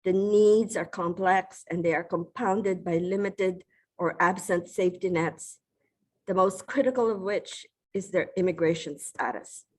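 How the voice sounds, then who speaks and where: Measured woman from the Philippines speaking. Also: fairly low pitch, steady tone, a little mellow. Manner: measured, formal delivery with occasional restarts. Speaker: award recipient giving a speech. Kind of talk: prepared acceptance speech.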